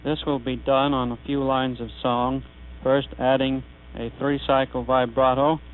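A man formally presenting a technical demonstration in a measured way, in a tinny old recording with hum. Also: mid-range voice, flat delivery, clear, resonant tone. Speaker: demonstrator, announcer.

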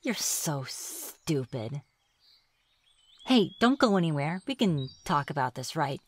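A sly, reclusive witch of the woods, acted by a woman for an ASMR fantasy roleplay. Her voice sits a little low and she takes her time over her words. She is playful and coy, teasing as she talks. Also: big pitch swings, slow pace, exaggerated voice. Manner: teasing, musing aloud, coy. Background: birdsong and forest ambience.

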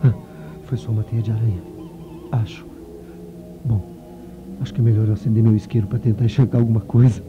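A man playing a nosy, jittery reporter muttering to himself in a comic radio play, with a creaky voice. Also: lowish voice, fairly even intonation, brisk, long pauses, loud music behind the voice, close to an everyday voice. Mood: nervous. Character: nosy, jittery newspaper reporter snooping alone. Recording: dull lo-fi radio recording.

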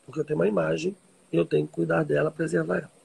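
A man's voice: on the high side, little pitch movement, rapid-fire, vocal fry, darkish tone, husky. A man with a Brazilian accent talking informally on a live stream to followers, emphatic and repeating phrases for stress. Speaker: religious teacher hosting a live stream. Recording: livestream audio reposted from social media.

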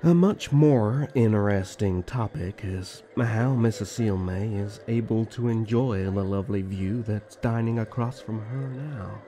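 A man playing a flirtatious, teasing rival elf prince in a fantasy audio drama, with a smooth, mocking courtesy. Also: lowish voice, unhurried, hardly any pauses, dark tone, a little hiss or hum, lightly acted. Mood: sardonic.

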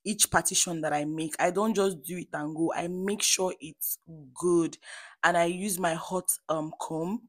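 A woman's voice: fairly low pitch, no echo, studio quality. A woman with a Nigerian Igbo accent giving a chatty beauty tutorial as a vlogger, playful and emphatic as she addresses viewers directly. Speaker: hair stylist and beauty vlogger.

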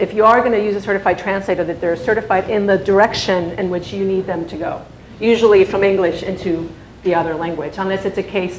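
Spain-raised woman presenting in an echoey hall. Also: fairly low pitch. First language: Spanish. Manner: conversational, many fillers and restarts. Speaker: conference presenter. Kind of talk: conference presentation. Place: large conference room. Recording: echoey conference room, faint hum.

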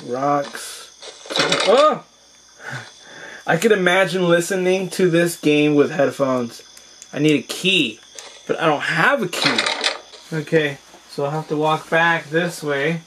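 A hoarse young man casually reviewing a VR headset at home, exclaiming through gameplay. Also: on the high side, unhurried, background noise. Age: young adult. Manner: excited exclamations, chatty. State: hoarse from being sick. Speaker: tech reviewer and VR gamer. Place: small home room with shelves, indoors.

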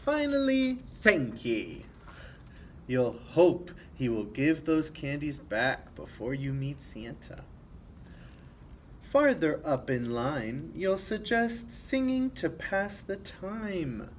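Young guy reading a kids book out loud, takes it slow, expressive, little bit of room noise. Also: a little high. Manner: expressive, with commentary to young listeners. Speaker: storytime reader. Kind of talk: children's picture book read-aloud. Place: small office room, indoors. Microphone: camera a few feet away. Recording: faint background noise.

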